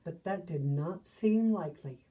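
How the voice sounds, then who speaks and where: A woman reading a children's picture book aloud, adding gentle asides to young listeners. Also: on the low side, a little slow, far from the mic, phone-quality sound. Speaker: storybook reader.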